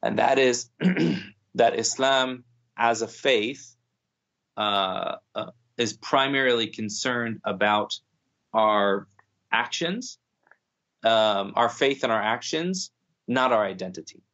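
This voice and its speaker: Male podcast guest, measured and thoughtful, a little lo-fi. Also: mid-range voice, fairly bright tone. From United States. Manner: thoughtful, many restarts and fillers. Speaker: guest scholar. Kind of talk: podcast conversation.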